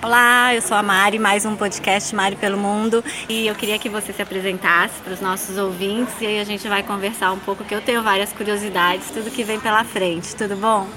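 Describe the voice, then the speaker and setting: A woman's voice: mid-range voice, steady tone, a little fast, hardly any pauses. Chatty woman podcast host. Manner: chatty, frequent tag questions. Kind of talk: podcast interview. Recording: noisy background.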